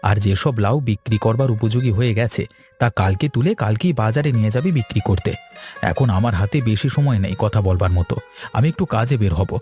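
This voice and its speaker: A man's voice: fairly low pitch, fast talker, warm tone, soft background music, natural voice. A man playing a busy, kindly village farmer in a cartoon, explaining things in an avuncular way. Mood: advising. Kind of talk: cartoon dialogue acting.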